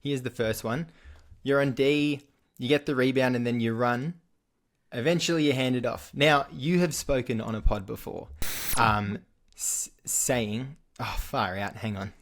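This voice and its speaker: A man's voice: mid-range voice, measured pace. Chatty young Australian man hosting. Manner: relaxed, chatty, with frequent fillers and restarts. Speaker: podcast host. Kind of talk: casual sports interview.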